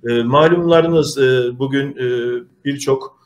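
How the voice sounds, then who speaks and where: A middle-aged man, hosting an online community show in an earnest, halting, polite way. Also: mid-range voice, darkish tone. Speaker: host of a community video show. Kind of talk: informal presenting to an online audience.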